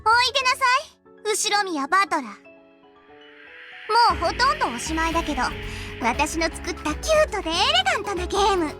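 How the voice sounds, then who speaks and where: A woman's voice: very high voice, sing-song, racing, music in the background. A woman playing a childish, smug girl witch in an anime-style visual novel, with a taunting, theatrical delivery. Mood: gleeful, showy.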